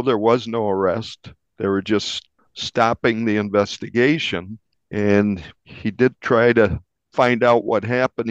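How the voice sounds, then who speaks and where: A man's voice: on the low side, no echo, dull audio. An American man giving a conversational account of his reporting as an interviewed journalist. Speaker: investigative newspaper reporter, interviewee.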